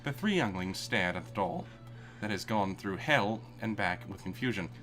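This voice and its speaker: Casting: male comedic story reader, mid pitch, animated intonation, irreverent, loose live feel, music underneath. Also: moderate pace. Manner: irreverent, breaks into swearing and amused asides. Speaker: reader of fan fiction.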